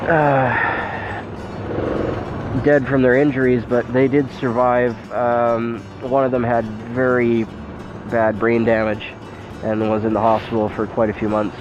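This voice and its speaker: A man's voice: medium pitch, flat delivery. Stuffed-up man rambling, lo-fi recording. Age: 30s. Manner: unhurried, thoughtful, frequent fillers. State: stuffed up from a head cold. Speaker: motovlogger. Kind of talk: casual rambling vlog. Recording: dull lo-fi sound, loud music behind.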